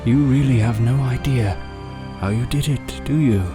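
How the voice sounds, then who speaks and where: A man playing a theatrical, taunting villain in an audio drama, with a mocking, drawn-out, mock-courteous manner. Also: mid-range voice, close to an everyday voice. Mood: mocking, smug. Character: taunting, theatrical male villain toying with a princess. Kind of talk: fan audio drama acting. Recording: heavily echoing, loud music underneath. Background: music.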